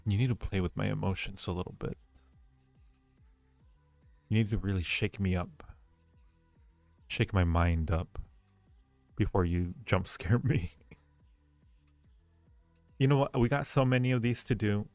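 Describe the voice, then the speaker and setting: Low, creaky-voiced man chatting on stream. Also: measured pace, long pauses, a little mellow, no echo, faint music behind. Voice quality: low-pitched, gravelly creak. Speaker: livestream host reading viewer chat. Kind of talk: casual livestream chat with viewers.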